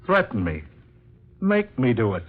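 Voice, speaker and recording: An actor playing a suave, mocking murderer and lover in a theatrical 1940s radio horror drama, smug and condescending. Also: male voice, medium pitch, huge pitch swings, a little slow, breathy edge, exaggerated voice. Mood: menacing. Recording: muffled vintage 1940s radio recording.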